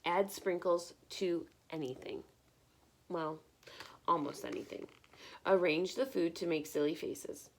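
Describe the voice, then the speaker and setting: A woman reading a picture book aloud like a teacher, expressive and encouraging, pausing to put questions to young listeners. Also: fairly low pitch, a little hiss or hum.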